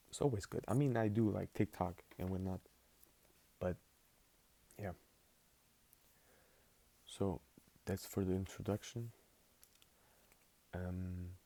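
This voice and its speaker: A man's voice: sits low, gravelly, mellow. An eighteen-year-old man for whom English is not his first language, rambling casually through a spoken journal with lots of fillers. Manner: rambling, filler-heavy, laid-back. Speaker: vlogger.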